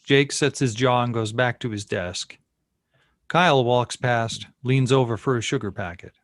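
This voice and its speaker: A man narrates scripted stage directions for a table read. His voice is mid-pitched and recorded dry, with very little room around it. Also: normal speed, no room sound.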